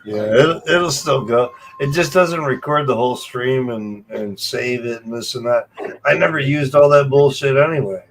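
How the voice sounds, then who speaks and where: A man's voice: mid-range voice, moderate pace, a little hiss or hum, rough recording. An American man in casual late-night chat on a video call, swearing freely and laughing often in a loose, joking way. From United States. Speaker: guest on a live video call.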